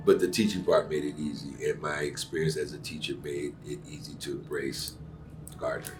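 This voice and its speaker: A man's voice: a bass voice, flat delivery, normal speed, recorded from a distance, soft background music. A middle-aged man from Cuba, an actor and acting teacher, talking earnestly in a casual interview with plenty of fillers. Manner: earnest, warm-hearted, emphatic, with many fillers.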